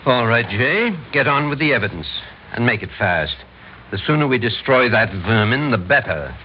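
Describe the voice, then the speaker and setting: Male actor as bossy vigilante major, radio play: brusque, impatient, lively intonation, dull noisy old recording. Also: mid-range voice, moderate pace, close to an everyday voice. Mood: stern, impatient. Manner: brusque, commanding, self-righteous. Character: impatient, domineering military major heading vigilante committee. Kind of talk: old-time radio drama acting.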